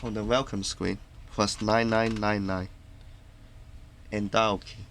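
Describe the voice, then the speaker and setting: A man narrating a step-by-step tutorial in a plain, instructional way, halting from phrase to phrase. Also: fairly low pitch, flat delivery. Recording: clearly noisy background.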